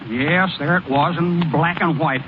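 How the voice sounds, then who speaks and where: A man playing a naive, folksy American baseball pitcher who stammers in ungrammatical speech, in old-time radio drama. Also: a little slow, highs cut off, close to an everyday voice. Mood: distraught. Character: naive, boastful-turned-dejected hayseed male baseball pitcher. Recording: thin, noisy vintage radio broadcast recording.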